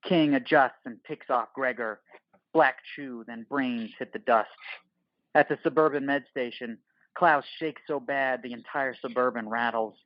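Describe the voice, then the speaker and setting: A man's voice: mid-range voice, no room sound, muffled recording. A man narrating a scripted audio drama in the present tense, with terse, clipped phrasing.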